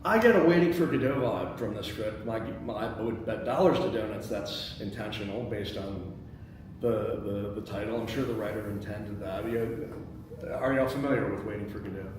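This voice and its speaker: A man's voice: fairly low pitch, moderate pace. A man hosting a script table read and reading out the stage directions. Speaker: host and stage-direction reader. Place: indoor meeting space. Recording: very echoey room, distant mic, background noise.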